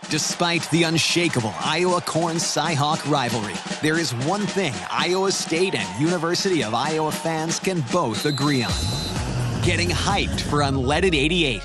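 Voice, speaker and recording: A man's voice: a little high, expressive, normal speed. An American man doing a scripted voice-over for a radio commercial. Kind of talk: scripted advertisement read. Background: music bed.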